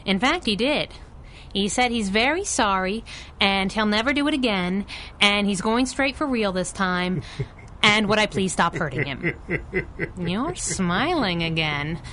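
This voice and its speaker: An actress playing a tough, wisecracking young masked crimefighter in a 1930s-style radio adventure, with a cocky, sardonic delivery. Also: female voice, mid-range voice, lively intonation, a little slow, sharp tone, slight background noise. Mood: wry, brisk.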